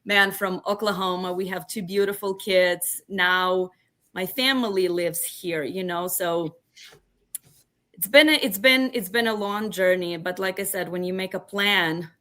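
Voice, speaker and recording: Bright-voiced Ukrainian woman telling stories. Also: fairly low pitch, steady tone, unhurried. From western Ukraine. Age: early 40s. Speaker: podcast guest. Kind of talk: casual personal storytelling.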